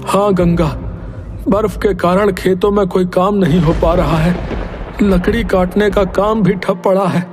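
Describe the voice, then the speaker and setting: A poor village labourer played by a man, pitched well above a normal male voice. He sounds humble, plaintive and resigned, in the manner of an animated moral tale. Music plays clearly behind him. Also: a little slow. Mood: weary, patient. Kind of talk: Hindi animated moral story voice acting.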